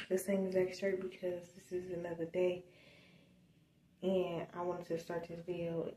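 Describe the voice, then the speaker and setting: A woman's voice: on the low side, reverberant, a little hiss or hum, poor audio. A young woman chatting casually to her viewers from her apartment, sounding sleepy, filming on a phone held at arm's length. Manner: relaxed, chatty, addresses viewers as y'all. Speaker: vlogger. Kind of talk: casual vlog chat. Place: small apartment kitchen indoors.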